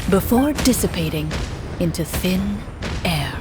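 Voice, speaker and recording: A woman voicing a composed, serious game master in a fantasy video game, narrating in a measured, formal way. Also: on the low side, expressive, over music.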